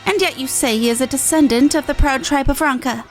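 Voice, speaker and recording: A woman's voice: sits high, soft background music, natural voice. Woman playing a proud, wary warrior woman. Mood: wary, challenging. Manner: formal, measured, questioning. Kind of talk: video game story dialogue read aloud.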